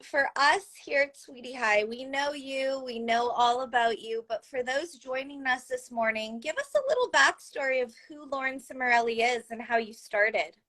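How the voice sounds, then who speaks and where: A woman's voice: high voice, moderate pace, hardly any pauses, sharp tone. A young woman hosting a live interview in an upbeat, encouraging way.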